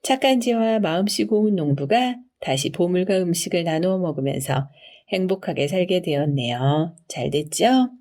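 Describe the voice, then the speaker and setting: A woman reading scripted bedtime fairy tales for children in an expressive storytelling manner. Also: lowish voice, moderate pace. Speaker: storybook reader.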